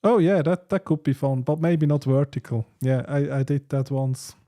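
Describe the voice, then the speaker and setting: A man hosting a casual livestream chat, speaking in halting, hesitant phrases. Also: mid-range voice, dark tone. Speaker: livestream host.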